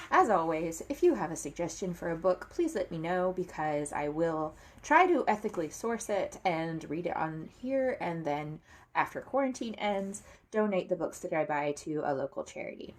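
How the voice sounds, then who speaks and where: A woman reads a children's book aloud with a lively, swooping delivery. Her voice sits a little low and has a husky edge. She speaks expressively and turns to her listeners to point things out. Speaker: reader of children's books for an online series. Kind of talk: animated read-aloud storytelling with comments to viewers.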